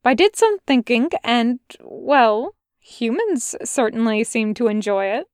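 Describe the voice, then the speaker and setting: A woman's voice: a little high, lively intonation, slow, a little mellow, no room sound, clean studio sound. A woman reading a fan audiobook, voicing a fussy, prim male angel who stumbles over his words. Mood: flustered.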